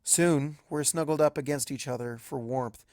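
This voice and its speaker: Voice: mid-range voice, moderate pace, darkish tone, dry recording. A storyteller reads first-person fiction in a mid-range voice. The recording is dry and close, with no room sound around the narration.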